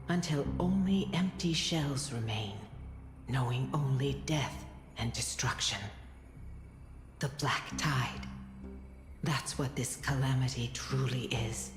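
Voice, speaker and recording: Guardian spirit, female, fantasy game: grave and foreboding, deep register, drenched in reverb over music. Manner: solemn, formal, foreboding. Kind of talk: dramatic fantasy game voice acting. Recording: long echoing reverb, music underneath.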